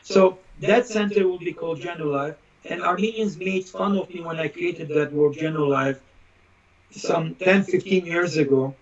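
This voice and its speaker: High-voiced emphatic man, dull lo-fi sound. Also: normal speed. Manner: emphatic, declarative, builds points in repeated lists. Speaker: interview guest, social critic. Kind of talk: opinionated live interview talk.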